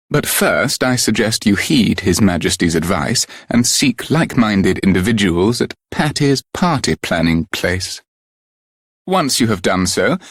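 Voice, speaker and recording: Lively, courtly royal adviser. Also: male voice, on the low side, no room sound, clean studio sound, heavily acted. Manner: formal, courteous, archaic phrasing. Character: courtly, formal male royal adviser giving guidance. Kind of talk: video game voice acting.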